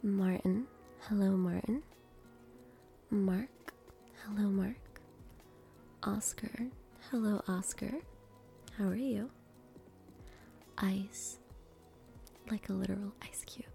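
Very slow, whispering ASMR woman. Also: medium pitch, steady tone, faint music behind. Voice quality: breathy whisper mixed with soft speech. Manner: gentle, slow, pausing between short phrases. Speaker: host greeting viewers by name. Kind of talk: ASMR name reading.

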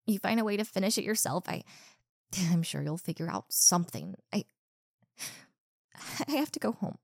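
A young woman playing a rude, insecure popular college girl in a one-sided roleplay, sharp-tongued and defensive, stumbling over her words. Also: mid-range voice, expressive, moderate pace, vocal fry, no echo, studio quality. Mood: frustrated. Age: young adult.

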